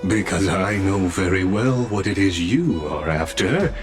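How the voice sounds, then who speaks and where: An actor playing a composed, knowing guide in a video game, with a formal, solemn and measured delivery. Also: male voice, low-pitched, expressive. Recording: background music.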